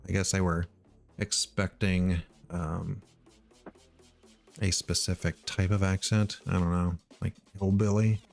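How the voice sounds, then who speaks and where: A middle-aged man from Missouri thinking aloud while he writes code, halting with many ums and uhs. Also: sits low, flat delivery, vocal fry, darkish tone, faint music behind. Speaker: live-coding streamer.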